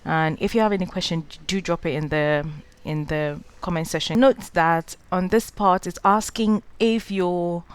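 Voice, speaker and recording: A woman's voice: on the low side, moderate pace, smooth. A young woman from Ghana guiding viewers through an online application form, hesitant, with frequent "um" and "uh" fillers. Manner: hesitant, many "um" and "uh" fillers, advising directly. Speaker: scholarship guide host. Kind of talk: screen-recorded walkthrough tutorial.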